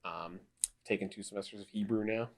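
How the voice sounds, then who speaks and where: Low-voiced thirty-year-old man, laid-back. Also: steady tone, brisk, vocal fry. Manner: laid-back, wry, laughs often. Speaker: podcast co-host. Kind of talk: casual podcast chat.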